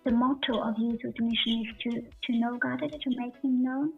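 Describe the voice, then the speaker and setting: Female interview guest from Bulgaria, hesitant, husky and even in tone, muffled distant audio with faint music. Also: on the high side. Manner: halting, hesitant, repeats words. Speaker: guest sharing her faith testimony. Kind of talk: personal testimony in an interview.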